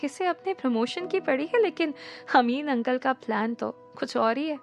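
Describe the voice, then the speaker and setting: A woman's voice: high-pitched, animated, moderate pace, a bit bright, muffled recording, soft background music. A woman voicing a cheeky young male junior colleague who teases and then yelps in fright. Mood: scheming. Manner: mocking, then yelping in fright.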